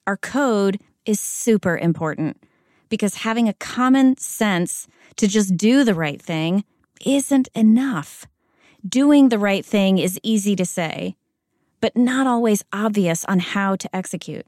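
A middle-aged woman with an American accent speaks as a company executive in a podcast interview. She talks in a measured, deliberate way and slows down to lean on key words. Her voice sits at a middle pitch, and the recording is dry and close. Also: lively intonation, darkish tone, no echo. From Spain. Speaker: corporate chief integrity officer.

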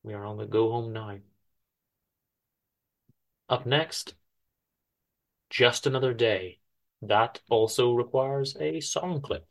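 A man giving a theatrical, emphatic dramatic reading of a story, with deliberate pauses. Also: mid-range voice, gravelly. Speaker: host reading his show's story.

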